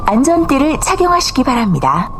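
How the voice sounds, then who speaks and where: A woman reading scripted bus announcements in a formal, measured manner, with slight noise on the audio. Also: sits high, sing-song. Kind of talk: scripted public transit announcement. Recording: faintly noisy announcement audio.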